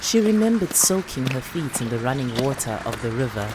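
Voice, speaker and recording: A woman with a South African accent reading a literary short story in a dramatic, deliberate, expressive way. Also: a bass voice, noisy background.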